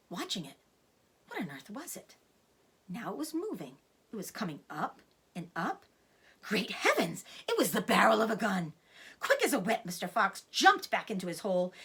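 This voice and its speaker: Animated woman reading a storybook aloud. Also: on the high side, breathy edge. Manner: dramatic, suspenseful. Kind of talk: expressive children's storybook narration.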